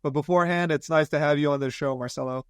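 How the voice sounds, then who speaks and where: A man's voice: on the high side, steady tone, quick pace, clean tone, no room sound, studio quality. A man hosting an interview podcast, introducing his guest and asking eager, upbeat questions. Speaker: podcast host.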